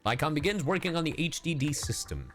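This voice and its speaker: Animated, playful male game streamer. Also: a little high, fairly quick. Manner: playful, profane, hums to himself. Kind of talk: casual livestream commentary, reading game text aloud. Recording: game music behind the voice.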